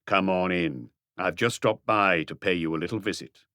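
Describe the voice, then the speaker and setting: Male reader playing police inspector, low voice, calm, ironic, probing, unhurried, dry detective audiobook recording. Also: no echo.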